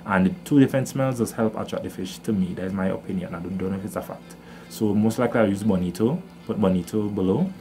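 A young man with a Trinidad and Tobago accent talks through his fishing kit, his voice sitting low. He's casual and chatty, tagging lines with "right?", filmed indoors close to the camera. Soft music plays faintly underneath. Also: fast. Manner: chatty, explains with "right?" tags and fillers. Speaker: fishing vlogger. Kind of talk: casual gear walkthrough to camera. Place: indoors by wooden doors.

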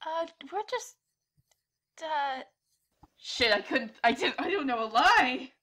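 A woman with a high, very animated voice plays a tabletop game. She chats and banters casually with the group. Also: slow pace. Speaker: player in a tabletop role-playing game.

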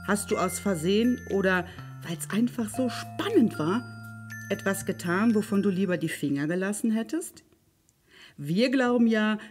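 A woman hosting and reading stories for children, expressive and playful, speaking directly to the listener. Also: medium pitch. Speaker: library storyteller and host.

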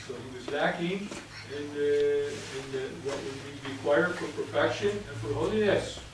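Echoey, halting male preacher, far from mic. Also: on the high side. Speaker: priest. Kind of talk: sermon. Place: indoors at a church pulpit or lectern, reverberant. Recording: distant mic in echoey room, clear background noise.

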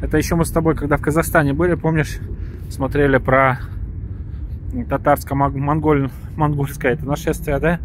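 A man's voice: a little high, normal speed. Man on a street walk, tired, talking casually, audible city noise around him. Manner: relaxed, slightly weary, searching for words. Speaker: vlogger. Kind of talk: casual walking chat. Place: evening pedestrian street outdoors.

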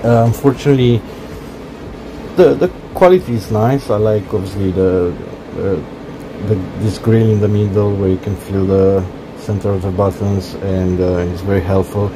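A man casually walking through his home-built cockpit project, talking haltingly with many fillers. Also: fairly low pitch, noisy background. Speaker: hobbyist cockpit builder. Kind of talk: casual build walkthrough. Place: workshop.

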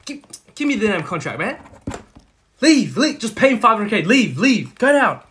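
A man's voice: medium pitch, expressive, brisk, a little hiss or hum, natural voice. A man playing a crime boss boyfriend in a roleplay skit, doting on his girlfriend with baby talk and menacing toward everyone else. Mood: furious, threatening. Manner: switches between sugary baby talk and cold threats.

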